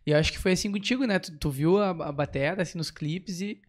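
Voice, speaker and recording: A man with a somewhat high voice co-hosts a podcast. He speaks in an informal, slangy, conversational style. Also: fast talker. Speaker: podcast co-host. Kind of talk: casual chat.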